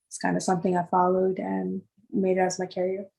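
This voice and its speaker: A woman's voice: fairly low pitch, monotone, brisk, gravelly, warm tone, poor audio. A woman in her twenties from Sri Lanka, answering interview questions casually with many fillers. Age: 20s. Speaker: guest artist.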